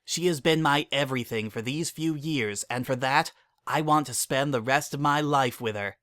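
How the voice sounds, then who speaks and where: A man's voice: medium pitch, moderate pace, a bit bright, no echo, studio quality, pitched above the performer's own voice, close to an everyday voice. A man voicing an adoring female unicorn in a story, speaking in an earnest, heartfelt, speech-making way. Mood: tender, adoring.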